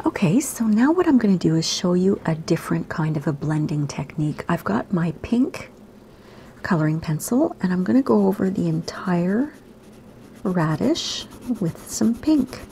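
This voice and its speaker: A woman, slightly hoarse today, gently talking kids through a drawing lesson in a soft, half-whispered voice. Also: on the low side, lively intonation, moderate pace, a little hiss or hum. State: raspy, slightly sore throat. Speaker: art teacher for kids. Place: home room by a window.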